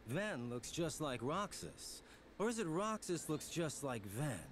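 A man's voice: on the high side, very animated, unhurried, slight background noise. An actor playing a cocky but loyal young man in a video game, exasperated and sardonic. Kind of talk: animated video game dialogue.

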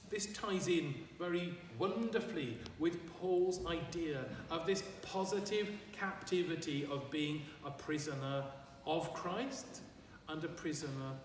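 An older man, a priest in his sixties or beyond, preaching a sermon in a deliberate, emphatic manner with measured phrases. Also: high-pitched. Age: 60s or older. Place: large stone church, at a lectern. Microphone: far camera microphone. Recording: echoey church, distant mic, background noise.

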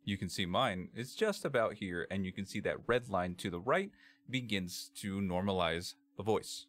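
Low, bass-heavy man giving a tutorial. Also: a bit bright. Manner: measured, step-by-step instruction. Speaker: tutorial presenter. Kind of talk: scripted software tutorial narration. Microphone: computer microphone, screen-capture voice-over.

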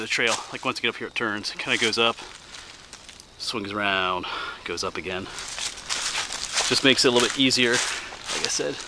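American man vlogging outdoors over clear background noise. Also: medium pitch. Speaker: hiking vlogger and teacher. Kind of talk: casual chat while walking a trail. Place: outdoors on a wooded trail.

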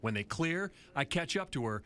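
A male reporter narrating a news investigation in a measured, serious voice-over. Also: on the high side, sing-song, noisy background.

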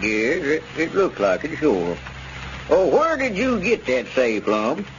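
Shifty old rural Southern squire: folksy, hemming, radio comedy, dull recording with clear noise. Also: male voice, fairly high pitch, big pitch swings, takes it slowly. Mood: probing, insinuating. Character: shifty old small-town squire, wheedling and suspicious. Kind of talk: 1930s-40s radio comedy acting. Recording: muffled, noisy old radio broadcast.

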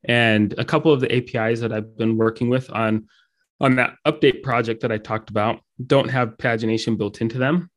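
A man's voice: mid-range voice, fairly even intonation, brisk. Man with a dry throat, coding demo. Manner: many ums and restarts, casual explaining. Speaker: meetup speaker. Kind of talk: live coding talk.

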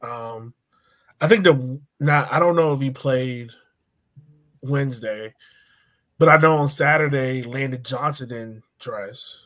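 A man's voice: mid-range voice, slow, pauses often, fairly bright tone. A man in his thirties co-hosting a casual soccer chat over a video call from home. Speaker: soccer podcast co-host. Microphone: video call microphone.

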